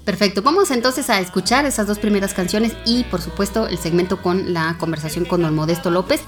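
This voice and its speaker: A woman co-hosting a podcast, introducing songs and guests in a friendly way with small thinking pauses. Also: mid-range voice, over music. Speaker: podcast co-host. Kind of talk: radio-style podcast hosting.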